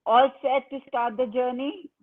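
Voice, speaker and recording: Slow-speaking woman host. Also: sits high, fairly even intonation. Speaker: interview host. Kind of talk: book interview. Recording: tinny phone-like audio.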